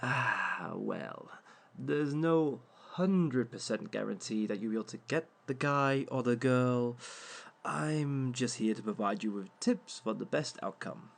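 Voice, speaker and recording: Smug villain workshop host, voiced by a man, gravelly, slow, dry teasing tone. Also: mid-range voice. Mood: wry. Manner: dry, teasing, unhurried, talks to unseen listeners. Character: smug male villain lecturing a dating workshop. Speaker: workshop speaker. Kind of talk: audio roleplay monologue.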